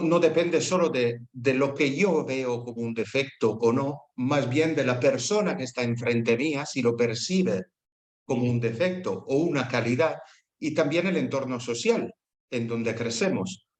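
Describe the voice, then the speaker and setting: A man's voice: medium pitch, echoey room. A man who is not a native speaker of the language, talking as a panel guest and choosing his words carefully. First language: not Spanish. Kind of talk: casual panel discussion.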